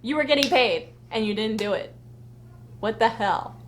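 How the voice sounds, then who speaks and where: A woman's voice: on the high side, expressive, moderate pace. Joking woman on a noisy livestream. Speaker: fan vlog co-host. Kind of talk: casual live fan chat. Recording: noisy home livestream audio.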